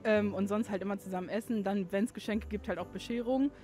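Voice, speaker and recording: A young woman answering a street interview at night, direct and relaxed. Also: mid-range voice, brisk, soft background music. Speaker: passer-by interviewee. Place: city street at night, outdoors. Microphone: handheld mic held by interviewer.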